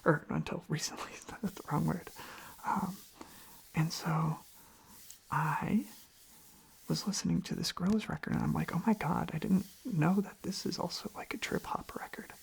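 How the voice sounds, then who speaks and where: A whispered, breathy voice rambling sleepily and gently in casual ASMR style, softly repeating phrases. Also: mid-range voice, fairly even intonation, moderate pace, low-quality recording. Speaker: ASMR video creator. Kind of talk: ASMR, softly rambling casual chat while tapping on objects. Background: tapping and clicking on objects.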